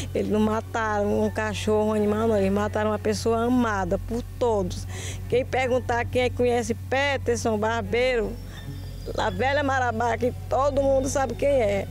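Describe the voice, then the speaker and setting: A woman's voice: a little high, fairly even intonation, moderate pace. A middle-aged Brazilian woman, the victim's mother, speaking outdoors at a cemetery into a handheld mic, pleading and indignant. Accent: Brazilian Portuguese. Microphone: handheld interview mic. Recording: soft music bed behind voice.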